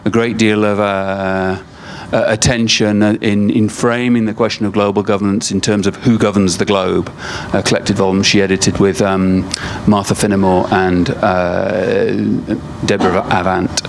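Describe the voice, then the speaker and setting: A man introducing a guest lecturer in a hall, speaking haltingly into a microphone with many fillers and restarts. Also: fairly low pitch, flat delivery, creaky, a little hiss or hum. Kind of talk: hesitant spoken introduction. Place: lecture room. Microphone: microphone at a lectern.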